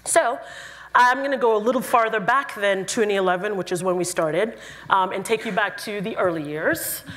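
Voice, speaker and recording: Woman from Cameroon, playful conference speaker, mid-pitched, echoing hall. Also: normal speed, slight background noise. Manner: lively, playful, jokey. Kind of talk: conference talk. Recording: reverberant hall.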